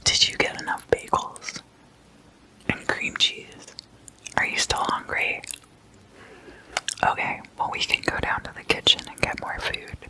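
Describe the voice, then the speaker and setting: A person speaking in a breathy, unvoiced whisper, hushed and conversational, like an ASMR host chatting at a sleepover. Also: rough recording. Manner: slow, hushed, casual and conversational. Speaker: host of an ASMR roleplay series.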